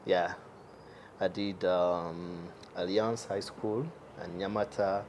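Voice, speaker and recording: Deep-voiced young man, hesitant. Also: fairly even intonation, takes it slowly, noisy background. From Uganda. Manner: hesitant, many fillers, easy laughs. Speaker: guest, entrepreneur. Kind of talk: casual interview.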